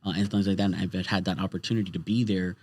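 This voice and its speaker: Man, very low voice, quick, rambling, podcast host, dry close sound. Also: fairly even intonation, darkish tone, dry recording. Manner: rambling, many restarts. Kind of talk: casual podcast chat. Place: podcast studio.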